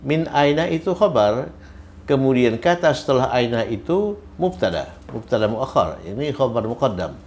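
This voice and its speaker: A man's voice: mid-range voice, sing-song, moderate pace, darkish tone. A man teaching an Arabic grammar lesson, patiently repeating each example and its translation.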